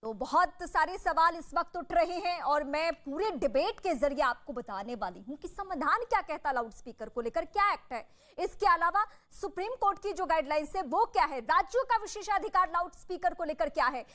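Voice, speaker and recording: A woman's voice: very high voice, expressive, fairly quick, hardly any pauses, bright, forceful tone. A woman hosting a live television news debate, speaking in an emphatic, formal news-anchor manner.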